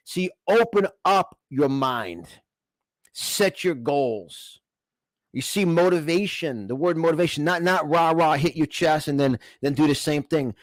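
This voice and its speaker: New York motivational speaker, higher-pitched male voice. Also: no echo, low-quality recording. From New York, United States. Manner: emphatic, exhortative, repeats phrases for effect. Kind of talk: motivational talk.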